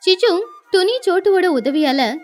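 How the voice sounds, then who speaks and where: A woman narrating a scripted children's story as an expressive storyteller. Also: very high-pitched, moderate pace, faint music behind.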